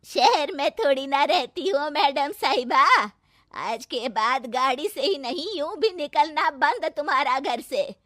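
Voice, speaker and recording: A woman voicing a stern, tradition-bound old village mother-in-law who scolds loudly. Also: expressive, slow pace, no echo, clean studio sound, exaggerated voice. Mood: scolding. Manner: scolding, shrill and exclamatory.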